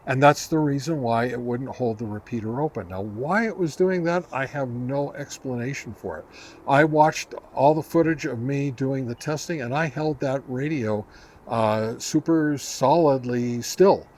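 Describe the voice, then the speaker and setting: A man's voice: mid-range voice, measured pace, a little mellow, a little hiss or hum. Casual, rambling man reviewing radio gear. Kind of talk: casual product test review.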